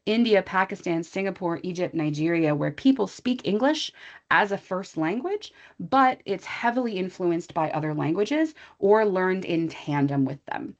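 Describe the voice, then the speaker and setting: A woman's voice: on the low side, lively intonation, normal speed. Chatty American woman teacher on a dull call. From USA. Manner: chatty and informal, thinking aloud with asides to the audience. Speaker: English teacher presenting a training webinar. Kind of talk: conversational online presentation.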